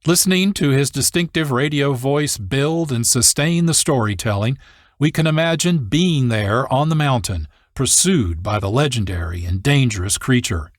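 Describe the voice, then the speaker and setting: A man narrates in a mid-pitched voice, recorded close and dry. His scripted documentary delivery is measured and deliberate. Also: animated, no room sound. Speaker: host and narrator.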